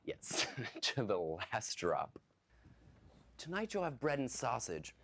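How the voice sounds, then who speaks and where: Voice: medium pitch, very animated, takes it slowly, gravelly, a bit bright, exaggerated voice. An actor playing a pompous, power-drunk stage player who lords it over a troupe in grandiose, declaiming style. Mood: gloating, commanding. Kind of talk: theatrical stage play acting.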